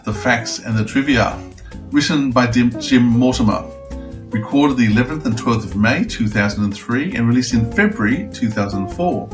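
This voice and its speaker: Man, podcast co-host, medium pitch, note reading, casual review chat, music behind.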